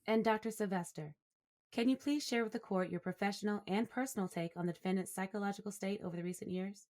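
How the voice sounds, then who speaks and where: An actress plays a prosecutor in an audio courtroom drama. Her female voice sits at a middle pitch, and she speaks in a formal, deliberate, businesslike way. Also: a little mellow, lightly acted.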